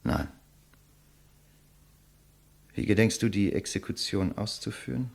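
A proud male writer defying his executioner. The speech is theatrical and eloquent with a sardonic edge, set fairly low and swinging widely in pitch. It has the manner of a 1950s German radio drama. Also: animated, a little slow, vocal fry, darkish tone, exaggerated voice. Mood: scornful, composed.

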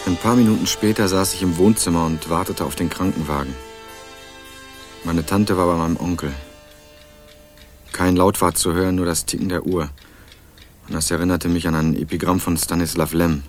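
A man playing a deep-voiced, dry young narrator. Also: steady tone, normal speed, long pauses, faint music behind, lightly acted. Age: young adult. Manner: dry, wry narration; persistent questioning. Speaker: first-person narrator and student. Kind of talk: scripted crime radio play narration.

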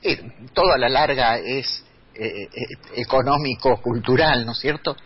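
A man's voice: mid-range voice, normal speed, slightly rough. A man, speaking as a radio guest and explaining in a lecturing way with many restarts. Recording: dull lo-fi radio recording.

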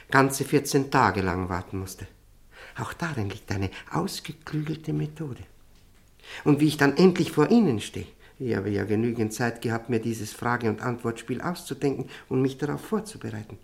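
An actor playing a haunted, cultured former prisoner in a radio play, telling his story intensely and deliberately with heavy pauses. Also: mid-range voice, creaky. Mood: anxious. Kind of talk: dramatic monologue in a radio play.

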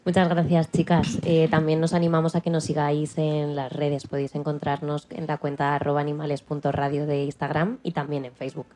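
A woman's voice: low voice, completely flat delivery, fairly quick, few pauses, dark tone. A woman with a Spain Spanish accent presenting scripted copy as a radio co-host, over faint noise.

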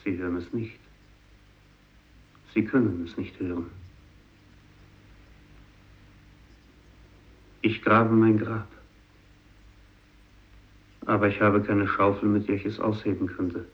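Actor as slow, low-voiced haunted fisherman. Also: little pitch movement, close to an everyday voice. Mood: grave, confiding. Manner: solemn, deliberate, halting delivery with heavy pauses. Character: doomed, guilt-ridden Japanese fisherman, sole survivor of his village. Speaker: narrator and main character. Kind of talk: 1950s German radio drama monologue. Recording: dull vintage radio recording.

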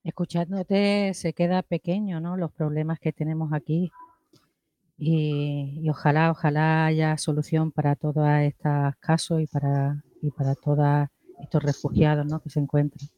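A woman's voice: sits low, little pitch movement, normal speed, mellow, dry recording, muffled recording. A woman hosting a radio interview. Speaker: radio host.

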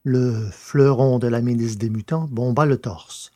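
A man's voice: mid-range voice, fairly even intonation, measured pace, a little mellow, no echo, clean studio sound. A man reading a scripted science-fiction story as its narrator.

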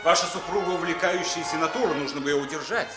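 A slightly high male voice from an actor plays a smooth schemer in a vintage radio drama. He is courteous and insinuating, speaking over prominent music. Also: quick pace, close to an everyday voice. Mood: smooth, insistent. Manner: polite, insinuating. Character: suave, scheming gentleman quietly pressing for favours. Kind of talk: 1960s Soviet radio play acting.

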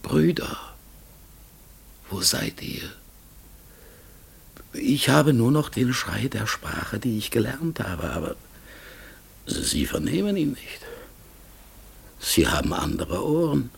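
A man playing a melancholy outcast talking raven in a radio play, solemn, gravelly and husky, sometimes sinking into whispers. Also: mid-range voice, sing-song, full character voice. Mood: anguished, forlorn. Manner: slow, solemn, mournful.

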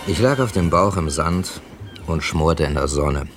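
A man's voice: very deep voice, lively intonation, a little slow, over music. An actor playing a worldly, wry crime writer in his thirties in a 1970s German radio drama. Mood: wry, composed. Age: 30s. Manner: dry, wry, urbane. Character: Edgar Dickens, worldly thirty-six-year-old male crime writer from London. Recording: noisy old radio archive recording.

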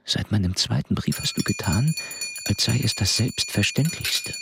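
An actor playing a brilliant, awkward mathematician in a German radio play, halting, muttering and blunt. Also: male voice, on the low side, lively intonation, creaky. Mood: pensive, dry. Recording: music behind the voice.

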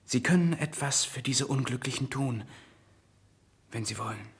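An actor in a 1960s German radio drama playing a composed political prisoner, speaking in a measured, earnest and commanding way. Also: male voice, medium pitch, slightly rough. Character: composed political prisoner soberly petitioning for fellow convicts.

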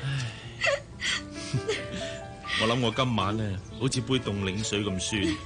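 An actor playing a smitten, insecure boss who talks hesitantly and earnestly in a Hong Kong Cantonese radio drama. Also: male voice, lowish voice, measured pace, over music, lightly acted. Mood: anxious, tender. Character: smitten, insecure boss courting his young secretary.

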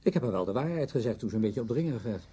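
A man's voice: medium pitch, expressive, very fast, mellow, natural voice. An actor playing a brash, self-satisfied orchestra conductor in a Dutch radio drama, blunt and offhand in his talk. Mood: breezy, smug.

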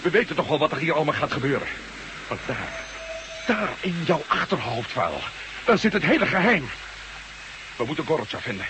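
An actor playing a driven former astronaut turned reporter, pressing hard and insistent in a radio drama. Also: male voice, fairly high pitch, big pitch swings, a little fast, long pauses, background noise. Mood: urgent. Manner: urgent, insistent.

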